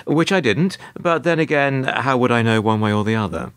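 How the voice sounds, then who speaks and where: A man's voice: medium pitch, animated, normal speed, clean tone, close to an everyday voice. A British man playing a weary middle-aged narrator in a radio play, dry, hesitant and sardonic. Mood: wry. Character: wry, weary older male narrator, reluctant bystander.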